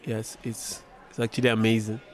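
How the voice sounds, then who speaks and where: A young man from Nigeria, a tech support engineer answering interview questions with many pauses and restarts. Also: fairly low pitch, completely flat delivery. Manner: hesitant, many fillers and restarts. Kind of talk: event interview.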